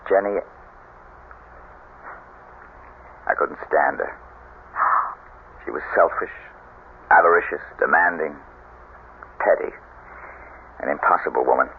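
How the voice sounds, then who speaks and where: A man playing a weary, embittered husband and former stage star in a radio drama, halting and theatrical in delivery. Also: rumbling low. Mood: bitter. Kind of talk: 1970s radio drama acting. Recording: noisy, phone-like old radio broadcast.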